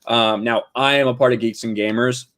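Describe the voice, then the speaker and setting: A man's voice: mid-range voice, steady tone, normal speed, a bit bright. A man giving casual commentary in an earnest, explanatory way. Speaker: online video commentator.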